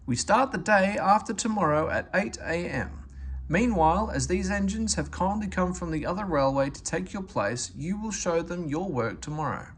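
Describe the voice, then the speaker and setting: A man's voice: sits high, animated, moderate pace, a little hiss or hum, pitched above the performer's own voice. A man voicing a stern, pompous railway boss in a storybook reading, commanding and grand. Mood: pompous, announcing.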